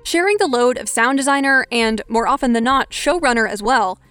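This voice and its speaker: A woman host with a smooth, clear voice. She sits a little high in her range and delivers scripted narration and interview questions. The sound is dry and close. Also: fairly quick, fairly bright tone, no room sound, soft background music. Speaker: podcast host.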